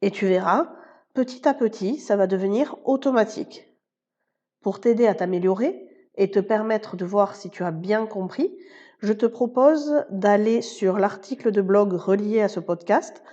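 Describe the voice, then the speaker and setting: A woman hosting a language-learning podcast, explaining pronunciation and vocabulary clearly and speaking straight to the listener. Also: mid-range voice, normal speed, mellow, highs cut off. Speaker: Spanish teacher and podcast host. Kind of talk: scripted podcast lesson.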